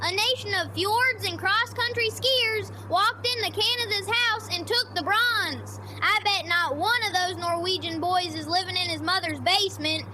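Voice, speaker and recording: A woman's voice: very high-pitched, normal speed, few pauses, background noise. A woman sports correspondent giving dramatic, opinionated commentary with hyperbolic delivery.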